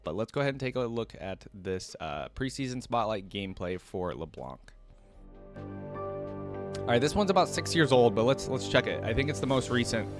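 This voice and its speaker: A man hosting a reaction video, talking casually and reacting excitedly as he thinks aloud. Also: mid-range voice.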